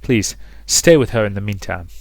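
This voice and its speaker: A man voicing a guarded, worried marquis in a visual novel, speaking in a grave, measured way. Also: fairly low pitch, a little mellow, no echo, close to an everyday voice, poor audio. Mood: firm, pained.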